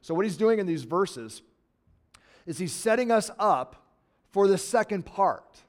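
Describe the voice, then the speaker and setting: A man's voice: high-pitched, animated, a little slow. A man with an American accent preaching a conversational sermon, reading scripture aloud and explaining it earnestly. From United States. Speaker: pastor.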